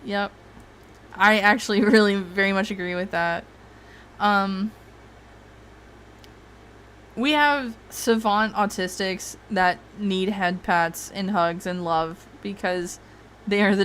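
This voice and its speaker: A woman's voice: lowish voice, a bit bright, a little hiss or hum. A woman hosting a casual livestream, rambling and swearing freely, with frequent laughs. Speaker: streamer host.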